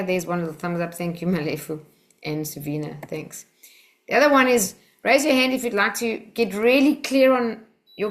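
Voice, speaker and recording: South African woman leading a webinar. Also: medium pitch. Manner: encouraging, direct, chatty. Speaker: Silva Method instructor. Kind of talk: hosting a webinar over a video call. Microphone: video call.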